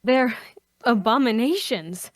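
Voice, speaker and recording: A woman playing a principled, soft-hearted adventurer with an earnest, hesitant manner in a fantasy audio drama. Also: on the high side, lively intonation, takes it slowly, no echo, clean studio sound. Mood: troubled, hesitant.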